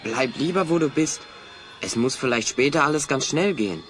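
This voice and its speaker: A man's voice: mid-range voice, animated, moderate pace, fairly bright tone, soft background music, lightly acted. A man playing a bold, resourceful adventurer hero in a German children's audio drama, eagerly explaining his plans. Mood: determined, explaining.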